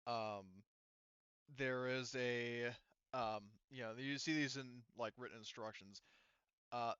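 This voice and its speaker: Clear-voiced man as game master. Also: mid-range voice, fairly bright tone, no echo, muffled recording. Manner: casual, explanatory. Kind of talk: running a tabletop roleplaying game.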